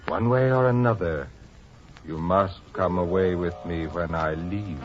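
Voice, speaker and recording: Deep, courteous, sinister gaunt man. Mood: coolly insistent. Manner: formal, unhurried, insistent. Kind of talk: old-time radio drama acting. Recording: muffled vintage radio recording with music.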